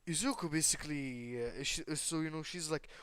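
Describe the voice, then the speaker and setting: A man's voice: on the high side, fairly quick, fairly bright tone, clear, resonant tone, no room sound. A man with a clean, resonant voice tells a fan-made anime story off the cuff. He rambles and halts as he goes, swearing casually, in a dry recording with little room sound. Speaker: storyteller and host of a fan what-if channel. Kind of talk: casual improvised retelling of anime fan fiction with acted dialogue.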